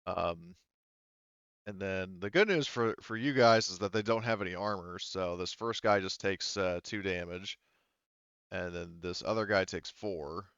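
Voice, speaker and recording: Deep-voiced man running a tabletop game. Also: measured pace, a bit bright, clear, resonant tone, no echo, muffled recording. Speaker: game master. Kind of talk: running a tabletop roleplaying game, casual rulings.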